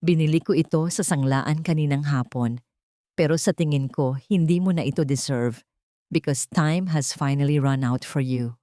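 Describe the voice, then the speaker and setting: A woman's voice: low voice, moderate pace, dark tone, dry recording, studio quality, close to an everyday voice. A woman with a Filipino accent voicing a bitter, mocking avenger who taunts in an audio drama. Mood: bitter mockery.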